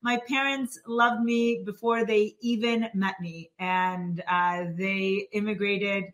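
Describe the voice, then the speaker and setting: A woman's voice: medium pitch, fairly even intonation, takes it slowly. A woman from Canada, a financial planner and author, telling personal stories as a guest on a remote interview, with frequent laughter and many fillers. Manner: warm storyteller, laughs often, many fillers. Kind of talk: podcast interview.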